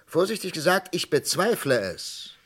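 A man acting as an insistent, indignant psychiatrist in a German radio crime drama, speaking emphatically with pointed pauses. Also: fairly high pitch, big pitch swings, a bit bright, husky, exaggerated voice. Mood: measured, doubting. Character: insistent, indignant male psychiatrist fighting to postpone an execution.

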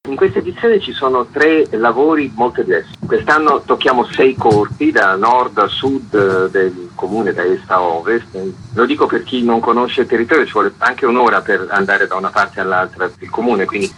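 A man's voice: fairly low pitch, moderate pace, few pauses, fairly bright tone, a little hiss or hum, low-quality recording. A man giving discursive, spontaneous explanations as an arts organiser being interviewed.

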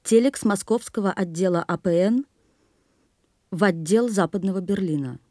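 Russian female telex reader for radio drama, played by an actress: soft-toned, formal, measured, dry sound. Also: mid-range voice, no room sound, lightly acted. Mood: measured, official. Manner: measured, official. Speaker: narrator of explanatory interludes.

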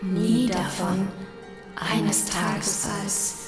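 An actress in a radio play voicing a captive fairy-tale maiden in a theatrical, drawn-out lament. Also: female voice, mid-range voice, fairly even intonation. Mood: woeful. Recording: echoey space with loud music.

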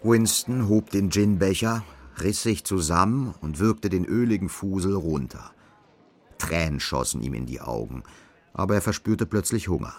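A man's voice: deep voice, expressive, normal speed, a little mellow. A man narrating a literary radio drama in scripted prose.

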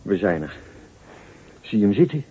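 A polite, jittery chauffeur who keeps his voice timid and respectful. His voice is lowish and lively in pitch. The old recording is dull, with faint background noise. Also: normal speed, slightly rough, full character voice. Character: deferential chauffeur, nervous man. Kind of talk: radio drama acting.

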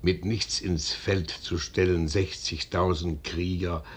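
An actor playing a blunt, reserved colonel sent as envoy in a 1950s radio play, with formal, deliberate stage diction. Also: male voice, sits low, steady tone, husky, heavily acted. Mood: measured, probing.

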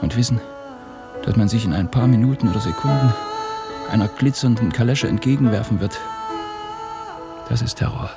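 A man acting in a German radio drama as an ashamed, despairing Russian revolutionary, halting and confessional, often hushed. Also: medium pitch, vocal fry, close to an everyday voice. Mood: anguished, hushed. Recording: studio radio play with music behind.

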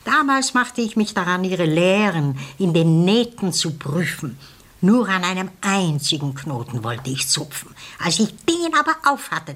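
A bitterly candid, defiant mother in an old radio play. Her voice sits fairly low. She speaks deliberately, with irony and dramatic intensity. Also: a bit bright, slightly rough, heavily acted. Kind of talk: classic German radio play acting.

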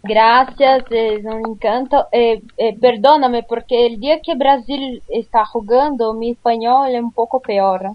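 A woman with a Brazilian accent, a native Portuguese speaker, chatting as a radio guest in slightly halting phrasing. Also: on the high side, steady tone, no echo, muffled recording. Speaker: guest panelist. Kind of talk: casual radio chat.

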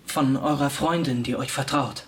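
An actor playing a secretive messenger in a radio drama, speaking in hushed, formal, old-fashioned courtly phrases. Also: male voice, medium pitch, fairly even intonation, moderate pace, lightly acted.

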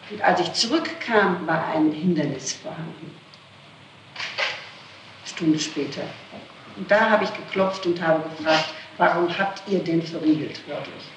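A person under police questioning recounts events in a mid-pitched voice, explaining and correcting themself along the way. The archival tape is roomy, with clear noise over the speech. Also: unhurried. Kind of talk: interrogation testimony, recounting events.

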